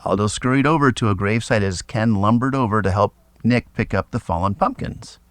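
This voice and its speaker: A man narrating a scripted children's story in an expressive, dramatic read-aloud style. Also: fairly low pitch, brisk, no echo.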